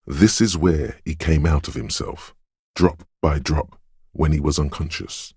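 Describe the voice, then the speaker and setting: Game role: ominous dreamlike inner voice, very raspy, unhurried, close and dry, cryptic and hushed in its intensity. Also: male voice, fairly even intonation, no room sound, full character voice. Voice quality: very deep, gravelly, husky. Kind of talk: dramatic game narration.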